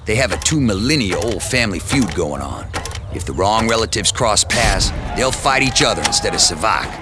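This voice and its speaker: A deep-voiced stern commander. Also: male voice, big pitch swings, moderate pace, loud music behind the voice. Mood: grave. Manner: grave, clipped briefing. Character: stern male commanding officer, dry and measured. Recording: loud noise under game audio.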